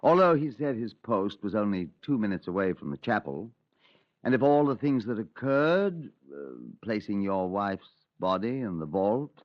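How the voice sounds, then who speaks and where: Stern inspector, a man, low voice, deliberate, dull vintage radio transcription. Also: expressive, natural voice. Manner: firm, deliberate. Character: stern male police inspector, firm and suspicious. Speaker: police inspector. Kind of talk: 1950s radio drama.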